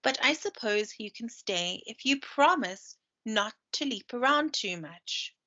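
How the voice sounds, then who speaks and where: A woman voicing a busy, grumbly but soft-hearted female hedgehog in a children's storybook. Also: a little high, expressive, unhurried, heavily acted. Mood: scolding. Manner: fussy, grumbling. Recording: dull lo-fi screen-share recording.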